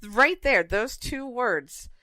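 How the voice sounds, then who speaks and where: Sardonic woman, bright and very animated. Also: on the high side, takes it slowly, no room sound. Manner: sardonic, skeptical, interjecting. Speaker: podcast co-host. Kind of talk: casual chat, reacting to news.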